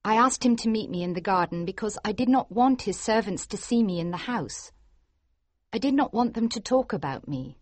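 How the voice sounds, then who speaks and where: A nervous young woman, put on by a male reader, speaks in a high, soft, hesitant voice that rises and falls widely. The recording is dry. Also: lively intonation, moderate pace, no echo. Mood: pleading. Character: young woman, upset and defensive.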